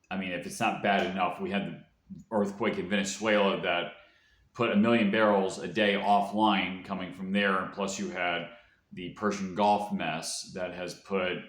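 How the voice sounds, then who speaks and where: Man, very deep voice, solo commentator, unscripted monologue, halting and meandering delivery, noticeable room echo. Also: fairly bright tone. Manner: halting, rambling, thinking aloud. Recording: echoey, reverberant room.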